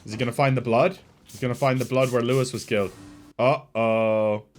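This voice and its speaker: A man's voice: mid-range voice, fairly even intonation, fast talker. A man hosting a reaction video with casual, chatty, informal commentary in a slightly nasal voice. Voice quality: slightly nasal early-morning voice.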